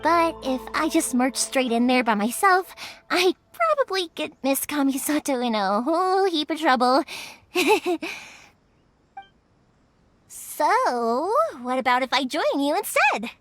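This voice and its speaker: A woman's voice: high voice, sing-song, takes it slowly, soft background music, exaggerated voice. A woman playing a cheerful, eager young fireworks shop owner in a video game, with a breezy, colloquial delivery. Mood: eager, plucky. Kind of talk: animated video game voice acting.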